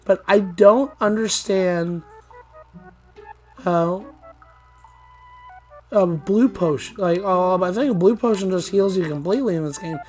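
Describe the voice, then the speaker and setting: A man with a slightly hoarse, groggy voice giving loose, rambling let's play commentary. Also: high voice, measured pace, pauses often, darkish tone, soft background music. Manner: rambling, loose, with many fillers and restarts. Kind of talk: casual gameplay commentary.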